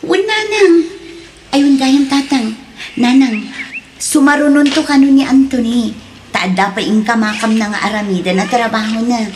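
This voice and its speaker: A woman's voice: a little high, moderate pace, a little hiss or hum, lightly acted. A woman with a Filipino accent playing a hurt but resolute young mother in an Ilocano radio drama. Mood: warm, emotional. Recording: music behind the voice.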